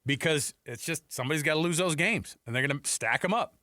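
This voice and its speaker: Casting: American male sports radio host, bright and punchy, dry studio mic, casual opinionated commentary with rhetorical questions. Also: fairly high pitch, quick pace, dry recording. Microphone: close studio headset mic.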